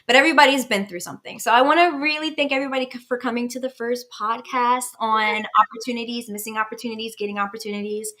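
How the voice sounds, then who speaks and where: A young woman in her twenties hosts a casual live podcast over a video call. Her voice sits fairly high and sounds bright and forceful. She rambles chattily with restarts and fillers. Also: fairly even intonation, fast talker, few pauses. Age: 20s. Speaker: podcast host. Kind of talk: casual live-stream chat.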